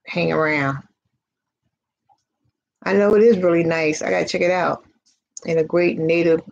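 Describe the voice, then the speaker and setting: A woman's voice: low-pitched, vocal fry, a little mellow, husky. A woman born in Virginia and raised in New York City, with a slight Southern twang, co-hosting a casual live chat. She is easygoing and chatty and reads out viewer comments. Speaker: co-host. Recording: dull lo-fi audio, faint noise.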